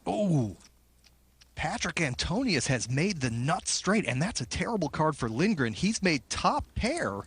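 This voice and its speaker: A man's voice: fairly high pitch, sing-song, a little slow, a bit bright, breathy edge, dry recording. A man giving analysis during a televised poker broadcast, explaining each player's hand and odds.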